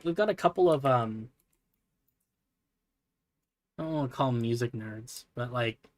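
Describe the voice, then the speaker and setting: A man streaming from his computer, chatting casually in a soft, relaxing voice, rambling with lots of fillers and self-deprecating asides. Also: medium pitch, no echo. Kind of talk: casual livestream chat while building.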